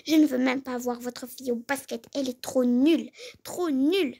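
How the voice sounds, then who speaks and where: A woman's voice: high voice, moderate pace, creaky, a little mellow. A young woman playing a blunt, mocking female basketball coach in an improvised skit. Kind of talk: improvised role-play skit.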